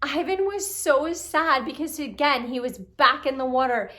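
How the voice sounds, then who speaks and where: High voiced lady doing a puppet show story for kids, expressive, talks right to the listeners. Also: moderate pace. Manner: expressive, talks directly to young listeners, exclaiming and asking questions. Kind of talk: animated children's storytelling with puppets.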